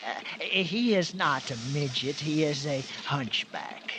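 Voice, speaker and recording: A man's voice: a little high, slow pace, noisy background. A man playing a bitter, megalomaniac mad scientist with a theatrical, savouring delivery, in old-time radio horror comedy style. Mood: politely evasive. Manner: theatrical, savouring words, grandiose. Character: megalomaniac male mad scientist, bitter, grandiose, outwardly courteous.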